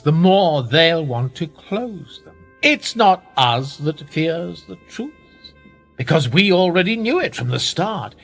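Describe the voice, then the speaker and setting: A powerful, manipulative gentleman of rank played by a male actor in a radio drama. His phrasing is measured and condescending, rising and falling expressively with a quiet threat beneath it. Faint music sits behind the voice. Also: a little high, exaggerated voice. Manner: measured, condescending, with rhetorical tag questions. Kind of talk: radio play dialogue.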